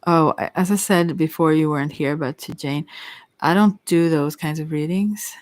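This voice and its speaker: A casual tarot reader speaks in a medium-pitched, soft voice that sometimes slips into whispering. The delivery is hesitant and rambling, as if thinking out loud. The sound is dry, with no room echo. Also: moderate pace. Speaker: tarot reader hosting a live reading. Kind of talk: casual intuitive tarot reading, answering listeners' questions.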